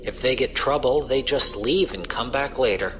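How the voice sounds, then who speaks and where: A man's voice: mid-range voice, moderate pace, over music, lightly acted. A man playing a casual, wry space pirate crewman who explains things colloquially in a science fiction audio drama. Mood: wry, resigned. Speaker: voice actor.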